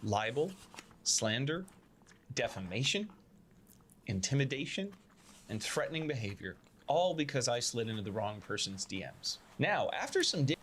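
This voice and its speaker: A man's voice: medium pitch, very animated, normal speed, fairly bright tone. Male video creator making a formal response statement, self-justifying and earnest, mid-range voice over light background noise. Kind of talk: prepared video statement to camera.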